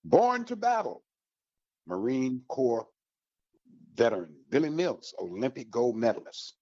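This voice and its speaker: A man's voice: mid-range voice, very animated, measured pace, darkish tone, dry recording, muffled recording. A man hosting a community radio show for veterans, talking in a folksy, upbeat promotional way. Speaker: radio host.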